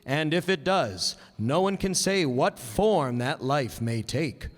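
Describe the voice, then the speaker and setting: A man playing a formal old-time radio announcer, narrating a script with deliberate, theatrical phrasing. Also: fairly high pitch, lively intonation. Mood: solemn, intriguing. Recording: reverberant hall stage recording.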